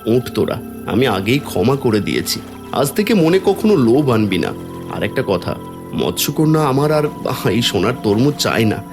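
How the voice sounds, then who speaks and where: A man's voice: medium pitch, very animated, normal speed. A man playing a humble, forgiving village farmer in a cartoon folk tale, speaking earnestly and with moral conviction. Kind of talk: Bengali cartoon voice acting. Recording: music behind the voice.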